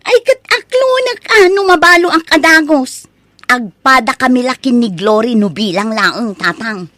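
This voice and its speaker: A woman's voice: sits high, expressive, normal speed, pushed and bright, dry recording. A woman with a Filipino accent playing an outspoken, disapproving adult daughter in a comedy radio drama, insistent as she argues. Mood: defiant. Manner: insistent, protesting.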